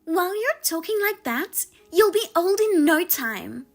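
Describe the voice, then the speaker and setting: A woman voicing a pushy, scornful sister-in-law who is a working mother, talking down to her in a sharp, mocking way. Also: extremely high pitch, sing-song, unhurried, faint music behind, voice pushed up, full character voice. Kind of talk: dramatized story reading.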